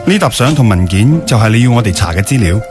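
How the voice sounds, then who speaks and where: Businesslike investigator, played by a man, lively intonation. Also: fairly low pitch, fast talker, loud music behind the voice, natural voice. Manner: formal, clear-cut reporting. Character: businesslike male investigator giving a report. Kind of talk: radio drama acting.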